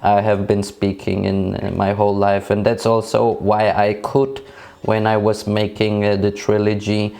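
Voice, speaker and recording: A man from Denmark, raised speaking Danish and Polish, chats at a slow, unhurried pace. His voice sits a little low, and he talks hesitantly with many fillers and restarts while soft music plays behind him. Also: monotone, creaky. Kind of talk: casual conversation.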